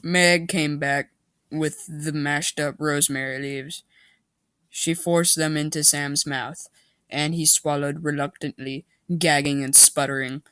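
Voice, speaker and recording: A man's voice: fairly high pitch, level tone, unhurried, bright, forceful tone, smooth, no room sound. A man doing a mocking amateur reading of a story, with sarcastic asides and an exaggerated delivery.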